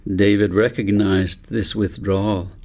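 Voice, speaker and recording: A man narrating a children's audiobook in a measured, deliberate storytelling manner. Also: lowish voice, little pitch movement, a little mellow. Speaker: author reading own book aloud.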